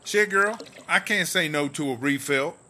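A man playing a sassy, gossipy, foul-mouthed streetwise woman inmate in a comedy audio drama, with slangy, drawn-out exclamations. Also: fairly high pitch, pushed and bright, slight background noise. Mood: playful, sassy. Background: music.

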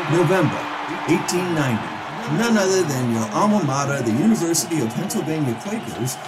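An actor playing a jovial, talkative ghost in an audio drama, chatty and teasing, with a sputtering, theatrical delivery. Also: male voice, a little high, low-quality recording. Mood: showy, excited. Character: jovial talkative male ghost of dead football pal.

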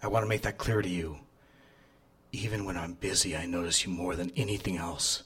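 An actor playing a controlling, smooth-talking boss who courts his employee in a deliberate, coaxing way, in a live radio drama. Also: low voice, fairly even intonation, fairly bright tone. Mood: tender, possessive.

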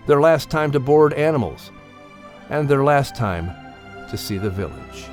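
A man's voice: medium pitch, big pitch swings, measured pace, over music. A man working as announcer and narrator, speaking in a scripted storytelling style for an audio show.

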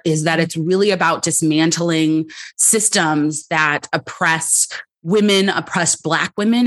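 A woman guest on a podcast interview, answering thoughtfully and calmly with the occasional laugh. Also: deep voice. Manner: thoughtful, calm, laughs easily. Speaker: psychologist guest.

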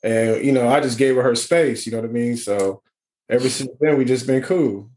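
A man's voice: medium pitch, moderate pace, darkish tone. A man in his forties talking casually and slangily in a group chat, laughing often. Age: 40s. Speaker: panel guest.

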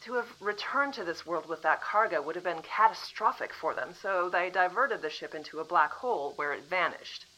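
A woman acting a composed female official from the future in an audio drama, calmly apologetic and matter-of-fact. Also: on the low side, normal speed, hardly any pauses, rough recording.

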